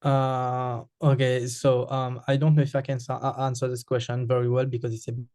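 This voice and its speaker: A man's voice: mid-range voice, flat delivery, a little fast, a little mellow, dry recording, studio quality. A man from Mauritius with a Mauritian accent, a Creole speaker answering interview questions, hesitant with frequent fillers and restarts.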